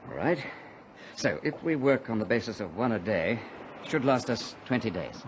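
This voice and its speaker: An actor playing a level-headed, commanding pilot leading crash survivors in a radio drama, firm and reassuring. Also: male voice, lowish voice, big pitch swings, normal speed, a little hiss or hum, poor audio. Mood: calm, firm.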